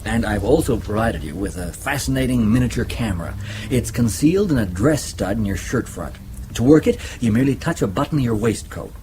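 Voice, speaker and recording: A man plays a businesslike spy handler with a medium-pitched voice. He is matter-of-fact and assured, as if giving a briefing. The radio drama recording has clear background noise. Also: animated, brisk, natural voice. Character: businesslike intelligence agent briefing on gadgets and targets.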